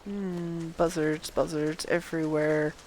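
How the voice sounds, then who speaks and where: A woman's voice: low voice, level tone, slow pace, a little mellow, slight background noise. A woman casually narrating her gameplay as a streamer, murmuring to herself and repeating phrases as she goes. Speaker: game streamer.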